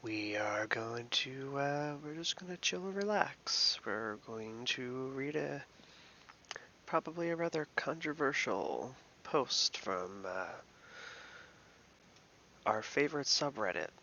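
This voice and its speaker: A man from Philadelphia hosting a casual commentary, reading out an online post and rambling through his thoughts with many fillers and soft whispered asides. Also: mid-range voice, expressive, slow, dull audio, slight background noise. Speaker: host.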